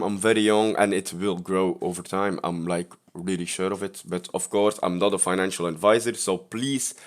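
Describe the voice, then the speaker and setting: Young man casually walking through his portfolio. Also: lowish voice. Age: 20s. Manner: relaxed, upbeat, speaks in short phrases with fillers. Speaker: investing channel host. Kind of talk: casual screen-share portfolio walkthrough.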